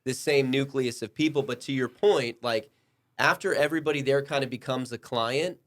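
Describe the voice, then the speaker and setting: A man's voice: medium pitch, moderate pace. A man hosting a casual, bantering panel talk, talking his points through at length. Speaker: podcast host.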